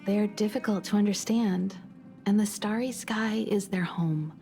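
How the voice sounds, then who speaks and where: A composed, mature woman mentor from a video game, played by an actress, with a mid-pitched, soft-toned and mellow voice. She speaks in a measured, thoughtful way over faint music. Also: close to an everyday voice. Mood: solemn. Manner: measured, philosophical. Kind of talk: video game character dialogue.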